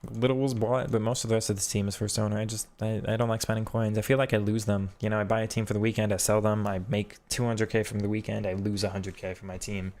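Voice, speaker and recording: A man's voice: lowish voice, level tone, fast, hardly any pauses, a little mellow. A man streaming a football video game, answering chat casually and thinking aloud with frequent restarts. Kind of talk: casual live-stream commentary reading chat.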